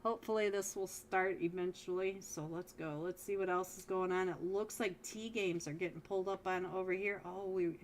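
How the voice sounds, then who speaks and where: A woman casting a mobile esports match live as a solo caster, chatty and talking straight to viewers, with a voice she says is going hoarse. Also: lowish voice, steady tone, moderate pace, hardly any pauses, slight background noise. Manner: chatty, talks straight to viewers, frequent restarts. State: losing her voice. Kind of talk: live game commentary.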